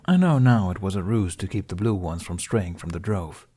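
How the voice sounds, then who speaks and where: A man plays a proud storybook dragon with a gruff voice. He grumbles in blunt, formal phrases, grudging but fond underneath. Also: low voice, expressive, normal speed, dark tone. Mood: grumbling.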